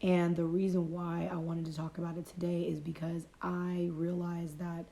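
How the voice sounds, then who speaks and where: A woman's voice: fairly low pitch, flat delivery, moderate pace, gravelly, dark tone. A woman life coach with a cold, talking casually and reflectively on a phone livestream, repeating and rephrasing her points. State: has a cold, voice fading. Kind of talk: motivational casual talk. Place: home livestream.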